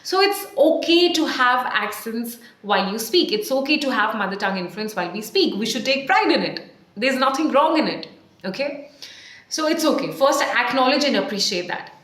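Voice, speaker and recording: A woman in her thirties with an Indian accent, coaching viewers on camera in an emphatic, animated way with questions to the viewer. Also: on the high side, normal speed. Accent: Indian English. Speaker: communication coach. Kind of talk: to-camera tutorial. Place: small tiled room, indoors, at a desk. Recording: echoey small room.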